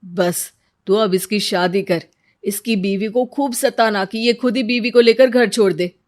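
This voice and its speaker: A woman's voice: medium pitch, steady tone, a little slow, natural voice. Woman doing a cunning, scheming uncle. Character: cunning, scheming uncle advising his sister.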